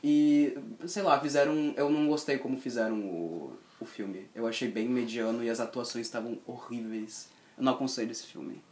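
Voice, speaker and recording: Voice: medium pitch, moderate pace, a little mellow. Opinionated co-host, mid-range voice, blunt and profane, recorded in a roomy space. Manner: opinionated, blunt, swears freely. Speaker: podcast co-host. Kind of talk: casual chat.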